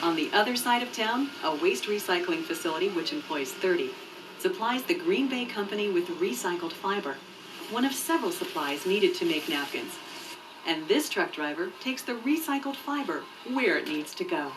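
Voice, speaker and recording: A woman narrating a scripted promotional video in a clear, measured, informative way. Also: fairly low pitch, expressive, background noise. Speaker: voice-over narrator. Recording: muffled distant VHS tape dub with music. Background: background music.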